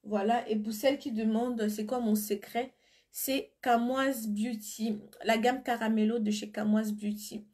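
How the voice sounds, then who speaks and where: A woman's voice: on the high side, steady tone, a little slow, a little mellow. Chatty woman streaming live with a cold. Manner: chatty, answers viewer comments as she goes; firm and blunt when insisting. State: has a cold and flu. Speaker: beauty seller on a live stream. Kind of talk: casual live stream chat.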